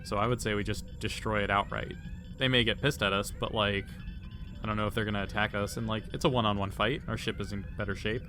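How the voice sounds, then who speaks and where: A man with a slightly deep voice speaks as a player in a tabletop game. He is informal and conversational. The recording has clear noise in the background. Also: fairly even intonation, fast, bright, forceful tone. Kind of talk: casual chat at the game table.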